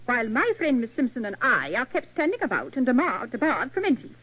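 A woman's voice: a little high, sing-song, slightly rough. An actress playing a bossy, indignant English lady tourist in an old-time radio drama. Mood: indignant, imperious. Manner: imperious, brisk, insistent. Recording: thin phone-like old radio recording.

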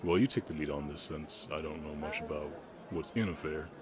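A man playing a shy, gentle orc cowboy with a slight Southern accent and hesitant delivery in a roleplay audio drama. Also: rumbling low, fairly even intonation, a little fast, heavy background noise. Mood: gentle, easygoing. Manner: hesitant, stammering, bashful. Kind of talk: roleplay audio drama, soft ASMR acting.

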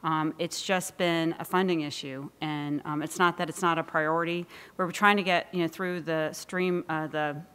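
A woman in her forties answering a policy question at an auditorium podium, careful and measured. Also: sits low, steady tone, fairly bright tone, clear, resonant tone, long reverb tail. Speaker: state official answering. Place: large auditorium stage. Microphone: podium mic.

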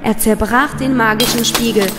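A woman tells a scripted fairy tale for children. Her voice sits a little high, in a long reverb over clear background music. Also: fairly even intonation, normal speed. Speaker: storyteller.

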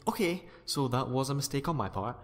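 Man as a quirky chatty time traveller, self-deprecating, lively pitch, long reverb, music behind. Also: brisk, close to an everyday voice. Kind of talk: fan audio drama acting.

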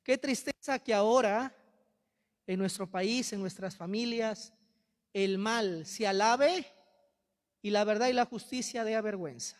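A man's voice: high voice, unhurried. A young Peruvian priest with a Peruvian accent preaching a sermon in a large church, holding a handheld mic, impassioned and reproachful, repeating his phrases. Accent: Peruvian Spanish. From Peru. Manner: impassioned, rhetorical repetition, reproachful. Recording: long church reverb.

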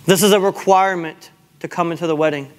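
A man's voice: fairly high pitch, steady tone, quick pace. A man preaching a sermon in an emphatic, exhortatory manner, repeating phrases for weight. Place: large church sanctuary, speaking from the pulpit to a seated congregation.

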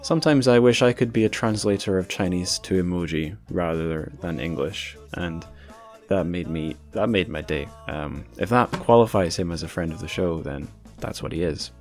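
A man with a notably low voice hosts a casual podcast. He rambles informally and puts himself down a little as he goes. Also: moderate pace, soft background music. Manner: informal, self-deprecating, rambling. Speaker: podcast host.

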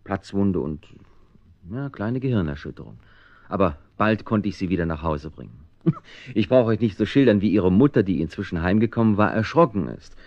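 An actor playing a resolute, caring man who narrates his own adventure in a radio play, expressive and conversational. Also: on the low side, moderate pace, no room sound, dull audio. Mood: narrating. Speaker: narrator and protagonist.

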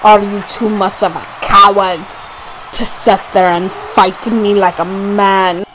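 A woman's voice: medium pitch, fairly even intonation, takes it slowly, a bit bright, rough recording. A woman voicing an indignant, scornful female wrestler giving a challenge promo, with faint background noise.

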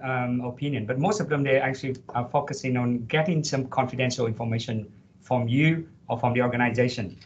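A middle-aged man, a lecturer on a panel, explaining point by point with many fillers over a video call headset. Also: medium pitch. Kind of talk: panel discussion answer. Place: office with headset. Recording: dull lo-fi video call audio.

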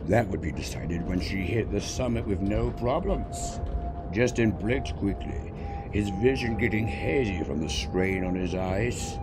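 A man's voice: lowish voice, animated, measured pace, loud music behind the voice. A man reading a scripted story as its narrator. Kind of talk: scripted narration of fan fiction. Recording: clear background noise.

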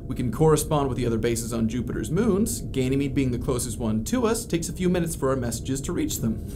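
A man's voice: a little high, background noise. An actor playing a brash, sarcastic male communications officer in a naturalistic space audio drama. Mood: casual, wry.

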